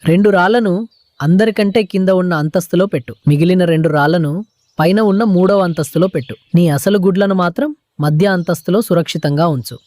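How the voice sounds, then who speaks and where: A performer voicing a wise, steady pigeon, measured and instructive, in a cartoon story. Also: mid-range voice, no room sound, natural voice. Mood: firm. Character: wise, steady pigeon giving firm advice.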